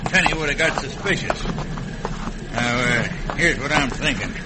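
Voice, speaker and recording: A man's voice: measured pace, close to an everyday voice. A man playing a scheming, villainous boat skipper with an American accent and folksy slang, in classic radio western style. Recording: noisy old radio recording with rumble.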